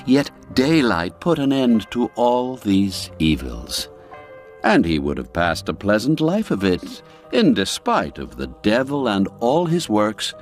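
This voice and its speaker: A man narrating a classic story in a measured, theatrical and expressive way. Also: on the low side, soft background music. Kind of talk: dramatic scripted story narration.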